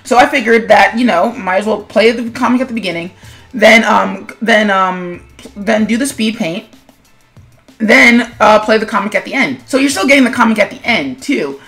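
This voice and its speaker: A woman's voice: mid-range voice, normal speed, fairly bright tone. Casual, rambling woman over music. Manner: rambling, self-deprecating, conversational. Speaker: webcomic animator and video host. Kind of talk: casual commentary vlog on own animation.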